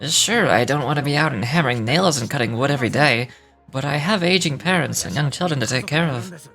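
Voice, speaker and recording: A man's voice: mid-range voice, brisk, sharp tone, faint music behind. Plainspoken, weary working family man. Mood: glum, hesitant. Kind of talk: scripted game character dialogue.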